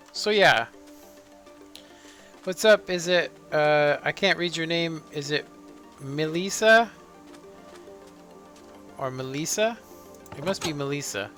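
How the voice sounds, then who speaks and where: A man in his thirties, a live streamer chatting casually and rambling with lots of fillers in a monotone way. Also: on the high side. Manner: relaxed, rambling, many fillers. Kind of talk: casual live-stream chat while playing. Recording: faint background music.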